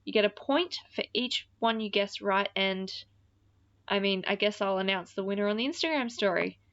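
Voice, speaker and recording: A woman's voice: mid-range voice, normal speed, fairly bright tone, no room sound, highs cut off. A woman hosting a radio-style show in casual DJ chat, with an informal, rambling, self-deprecating manner. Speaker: radio-style podcast host.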